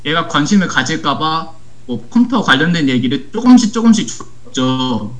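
A teenage male high school student speaking as a guest in a casual talk, explaining things earnestly step by step. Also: sing-song, moderate pace. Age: teens, high school student. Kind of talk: casual talk show conversation, recounting an anecdote.

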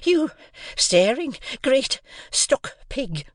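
A man voicing a sharp-tempered, bullying housewife for an audiobook, snappish and impatient. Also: sits high, sing-song, takes it slowly, no echo, studio quality, pitched above the performer's own voice, heavily acted. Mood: exasperated.

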